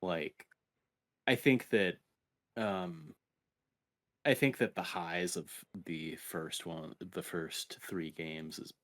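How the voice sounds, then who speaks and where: Deep-voiced man chatting casually. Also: steady tone, slow pace, pauses often, a bit bright, dry recording. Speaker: podcast co-host. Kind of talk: casual video game podcast chat.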